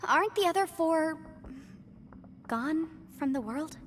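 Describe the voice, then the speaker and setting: A woman's voice: high voice, expressive, takes it slowly. A woman playing a polite, earnest young woman in a video game, courteous and inquiring in delivery. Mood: curious, concerned.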